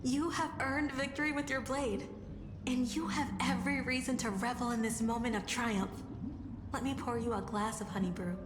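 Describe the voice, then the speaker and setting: A woman's voice: fairly high pitch, steady tone, moderate pace, close to an everyday voice. A woman voicing an admiring courtier in a video game, with formal, courtly phrasing. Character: admiring female courtier flattering a noble knight. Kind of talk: scripted game voice acting. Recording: echoey hall with background noise.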